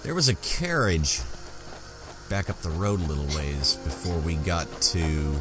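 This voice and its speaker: Deep, slow-talking man role-playing. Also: big pitch swings. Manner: musing, halting. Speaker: gaming channel player role-playing his character. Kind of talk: slow in-character game roleplay commentary. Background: game music and ambience.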